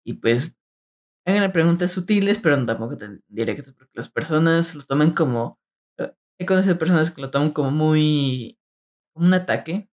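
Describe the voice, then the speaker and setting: A man's voice: on the high side, lively intonation, moderate pace, a little mellow. Young man giving advice. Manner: addresses listeners directly, gives advice. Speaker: podcast co-host. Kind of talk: casual advice chat.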